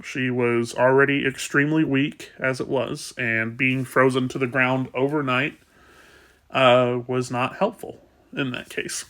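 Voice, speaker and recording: A man with a stuffed-up, sniffly voice, talking casually and softly about rescuing kittens, with many pauses and fillers. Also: mid-range voice, steady tone. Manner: soft, rambling, with fillers. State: congested, sniffling. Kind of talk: casual vlog talk.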